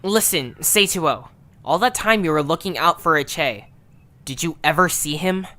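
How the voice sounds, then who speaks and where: A man's voice: a little high, animated, measured pace, bright, forceful tone. A man playing a blunt, impatient young creature who leads his group in an audio drama. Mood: blunt, scornful. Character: bossy, blunt young male Zoombini leader, proud and impatient. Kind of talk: audio drama character acting.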